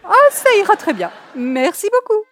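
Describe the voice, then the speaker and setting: A woman's voice: very high voice, huge pitch swings, normal speed, faint music behind, heavily acted. A woman playing a prim, pushy older charity lady with affected, flowery manners in a comic old-time radio play. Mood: breezy. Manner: affected, flowery, genteel speech.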